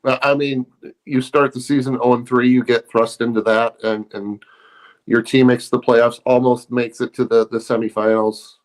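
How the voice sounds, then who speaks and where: A man co-hosting a casual sports podcast over a remote call, laid-back and halting, with many pauses and fillers. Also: medium pitch, level tone, poor audio. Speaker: podcast co-host.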